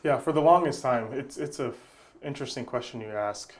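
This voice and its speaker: A man's voice: medium pitch, fairly quick. A young adult man from Congo, answering interview questions in an earnest, reflective way and often repeating words while he searches for the next thought. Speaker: guest, nonprofit founder.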